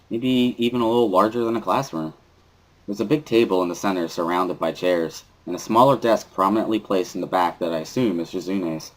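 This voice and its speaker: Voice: low-pitched, low-quality recording. A reader playing a wary teenage schoolboy who narrates his own story in a dry, mildly sardonic way, as a visual novel dramatic reading. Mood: dry, observant.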